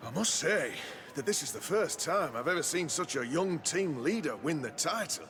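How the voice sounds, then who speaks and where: An actor playing a showy, formal arena master in a theatrical fantasy game style. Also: male voice, a little high, very animated, normal speed, fairly bright tone. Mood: impressed. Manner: flamboyant, formal, showman-like. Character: showy male arena master announcing fights to the crowd. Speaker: arena announcer. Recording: long echoing reverb.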